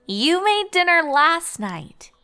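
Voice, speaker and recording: Woman acting out a high-pitched, excitable young unicorn mare. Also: slow pace, exaggerated voice. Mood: gently insistent.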